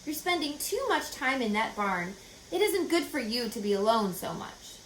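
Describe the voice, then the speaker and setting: A woman's voice: on the high side, sing-song, moderate pace, a bit bright. A woman voicing an anxious, stern and proper mother in a storybook reading, with a fretful, scolding manner. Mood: gently worried. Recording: echoey room, faint background noise.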